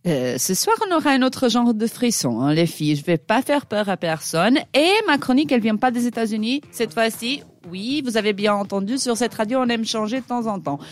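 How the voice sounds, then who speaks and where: A woman's voice: a little high, lively intonation, brisk, hardly any pauses. A woman whose first language is not French, giving an ironic, chatty radio chronicle with frequent hesitations. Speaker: radio show columnist.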